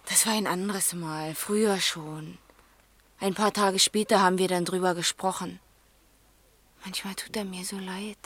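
Eine Schauspielerin spielt im Hörspiel eine verletzte, trotzige Tochter im Teenageralter, offen und stockend, oft leise werdend. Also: female voice, lowish voice, fairly even intonation, a little slow, fairly bright tone, breathy edge, no echo. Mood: confessional. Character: wounded, defiant teenage daughter, frank and vulnerable. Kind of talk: naturalistic German radio drama dialogue.

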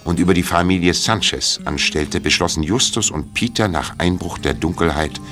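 A man's voice: sits low, normal speed, close to an everyday voice. A man narrating a detective audio drama for young listeners in a scripted storytelling style. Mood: measured storytelling. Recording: music under the voice. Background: music.

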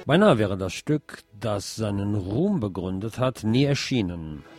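A man's voice: on the low side, sing-song, unhurried, darkish tone. A man presenting a scripted radio feature as host. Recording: music behind the voice. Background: music.

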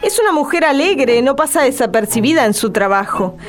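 A woman's voice: sits high, animated, a little fast. A woman narrating a scripted radio documentary.

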